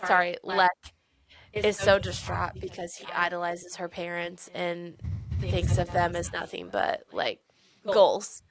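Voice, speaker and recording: A woman co-hosting a podcast in casual book chat, retelling scenes in an animated way and swearing freely. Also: fairly low pitch, measured pace, a crowd in the background. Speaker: podcast co-host.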